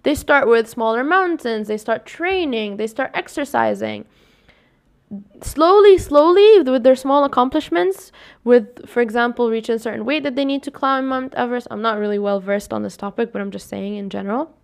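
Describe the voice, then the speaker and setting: A woman's voice: moderate pace, gravelly. A young woman with a somewhat scratchy voice, hosting a casual solo podcast, rambling and correcting herself as she talks. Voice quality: scratchy, deepens with long talk. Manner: rambling, self-correcting, upbeat sign-off. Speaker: podcast host.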